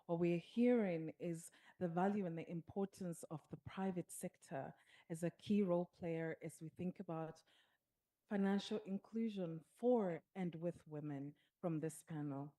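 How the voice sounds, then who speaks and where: Woman moderator wrapping up a panel, lowish mellow voice, steady. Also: normal speed. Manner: steady, repetitive summing up. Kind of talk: formal closing remarks.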